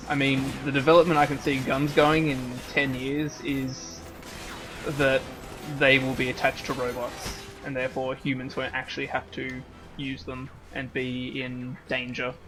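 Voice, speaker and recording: A man's voice: mid-range voice, completely flat delivery, moderate pace, over music, rough recording. A man giving casual let's-play commentary, joking and rambling as he riffs on the game. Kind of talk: casual gaming banter.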